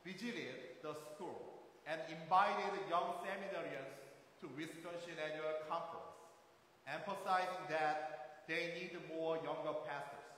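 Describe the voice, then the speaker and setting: A man in his thirties from South Korea with a Korean accent, preaching a sermon as a guest pastor in a careful, measured way. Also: a little high, fairly bright tone. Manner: careful, measured delivery with occasional rephrasing. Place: large church sanctuary. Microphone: far camera at back of sanctuary. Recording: echoey sanctuary, faint hiss, from far off.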